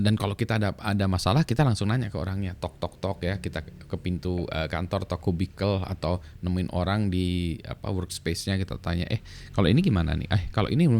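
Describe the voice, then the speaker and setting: A man's voice: deep voice, creaky. A middle-aged man with an Indonesian accent, talking casually and explaining at an easy pace, with frequent fillers. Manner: relaxed, many fillers and tag "ya". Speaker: vlogger sharing work experience.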